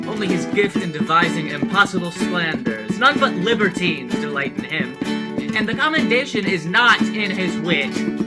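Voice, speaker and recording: An actress plays a scornful young noblewoman in a Shakespearean radio play. Her lowish voice swings widely as she mocks with theatrical wit, over loud guitar music. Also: close to an everyday voice. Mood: mocking. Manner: mocking, theatrical. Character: sharp-tongued, witty, scornful young noblewoman.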